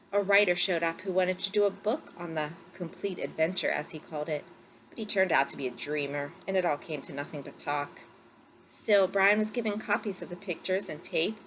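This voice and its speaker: Lower-voiced woman reading a story aloud. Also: a bit bright, a little hiss or hum, low-quality recording. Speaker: read-aloud narrator. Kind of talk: scripted story narration.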